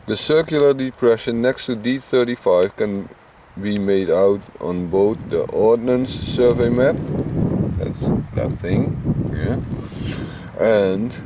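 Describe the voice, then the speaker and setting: Deep-voiced Dutch man mumbling outdoors. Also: background noise. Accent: Dutch Limburg. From Limburg, Netherlands. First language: Dutch Limburg dialect. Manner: deep voice, mumbling, chatty with small laughs. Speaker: vlogger. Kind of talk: casual outdoor vlog narration. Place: outdoors in flat open countryside.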